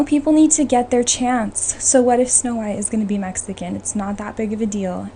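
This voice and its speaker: A woman's voice: medium pitch, dark tone. Woman podcaster, chatty, with background noise. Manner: informal, conversational, opinionated. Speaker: podcast host. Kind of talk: casual chat about films. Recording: noisy room ambience behind the voice.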